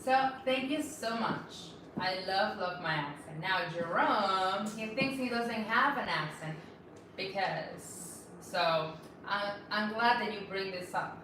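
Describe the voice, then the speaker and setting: A woman's voice: medium pitch, slow, fairly bright tone. A woman with a Venezuelan accent co-hosting a casual bonsai livestream, cheerful and laughing often as she reads viewer questions. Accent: Venezuelan Spanish. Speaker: bonsai nursery co-host reading viewer questions. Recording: echoey room, distant mic, noisy.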